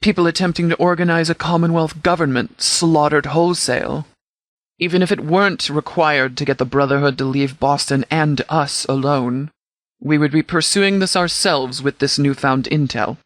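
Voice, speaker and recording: A man playing a stern, composed sheriff in a video game, speaking with formal, clipped military authority in a slightly higher voice. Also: normal speed, a bit bright, no echo, lightly acted. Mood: grave, resolute. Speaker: commanding officer. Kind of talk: scripted video game dialogue.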